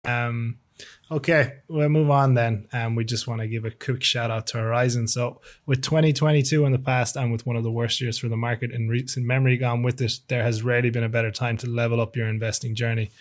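A man's voice: medium pitch, little pitch movement, brisk. A man hosting a podcast in a casual, conversational style. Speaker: podcast host.

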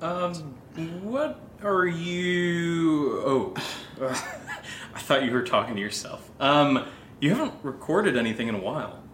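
A man plays a brash, breezy young roommate in an audio drama. His voice is bright and forceful, very animated in pitch, with a cajoling, sweary manner. The room sounds noticeably echoey. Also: unhurried, slight background noise. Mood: awkward, casual. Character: brash, upbeat young roommate who loves drinking. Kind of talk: naturalistic audio drama dialogue.

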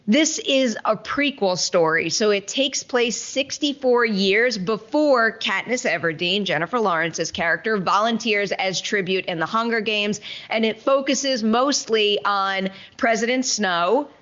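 A woman's voice: on the high side, a little slow, a bit bright. Woman film critic hosting, chatty n emphatic, really into it, audio a bit muffled. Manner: emphatic, enthusiastic, conversational. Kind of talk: casual film review chat.

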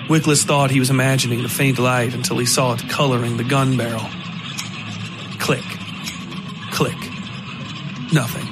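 A man narrates a scripted horror story for a podcast, in a middle-pitched voice. Music runs clearly behind the narration. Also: rough recording.

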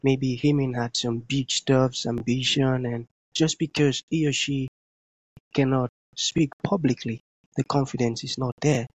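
A man hosting an interview, asking slow, halting questions and often answering with "mm" and "amazing.". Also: medium pitch, fairly even intonation, no room sound, muffled recording. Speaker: interview host. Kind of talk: casual interview conversation.

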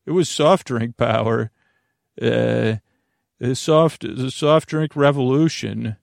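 Gravelly, meandering American man. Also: medium pitch, no room sound, clean studio sound. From United States. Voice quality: gravelly, creaky. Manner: meandering, halting, repeats and trails off mid-thought. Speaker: podcast host. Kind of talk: rambling monologue for a bedtime sleep podcast.

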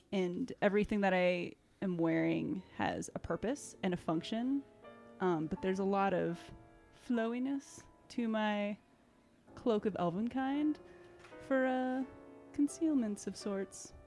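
Woman, tabletop player, hesitant, halting, faint music behind. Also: fairly low pitch, darkish tone. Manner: hesitant, halting, lots of fillers, laughing. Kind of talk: casual chat, describing a character.

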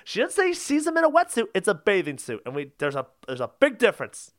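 A man with a high, very lively voice that cuts through sharply. He talks like a podcast co-host in a comic debate, pressing his point emphatically and repeating key phrases. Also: quick pace. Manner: emphatic, insistent, repeating himself. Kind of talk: casual comedic debate.

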